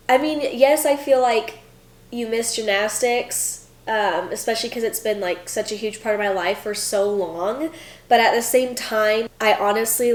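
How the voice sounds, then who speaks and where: A young woman in her twenties chats through viewer questions in an easygoing vlog, her voice a little high and stuffy from a cold. She fills her talk with "like" and "um." The room around her is noticeably echoey. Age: 20s. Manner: chatty, lots of "like" and "um". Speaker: vlogger, former college gymnast. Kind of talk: casual Q&A vlog.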